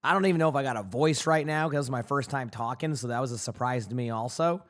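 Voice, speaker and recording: Hoarse male streamer chatting. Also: mid-range voice, fast, a bit bright. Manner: rambling, chatty, many restarts. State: hoarse, losing his voice. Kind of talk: casual chat with a live chat.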